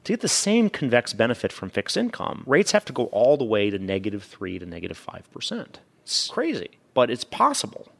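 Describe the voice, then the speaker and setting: A man's voice: medium pitch, huge pitch swings, a little fast, gravelly, fairly bright tone. A man giving analytical interview answers as a guest fund manager, emphatic, with rhetorical questions and repeated phrases.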